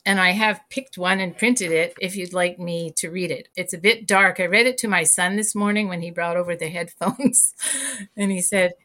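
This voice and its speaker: Low-voiced woman, halting speech. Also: bright, forceful tone. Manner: halting and searching for words, laughs often. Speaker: guest writer. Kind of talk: casual interview chat.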